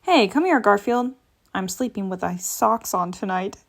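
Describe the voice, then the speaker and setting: A woman reading a comic book aloud in a lively way, changing her voice for each character. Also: mid-range voice, moderate pace, gravelly. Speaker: read-aloud narrator.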